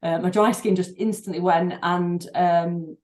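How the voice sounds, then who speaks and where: A middle-aged woman, a doctor and breathing therapist, speaking in a podcast interview, thoughtful and halting with many fillers and restarts. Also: fairly low pitch, steady tone, a little mellow. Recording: echoey room.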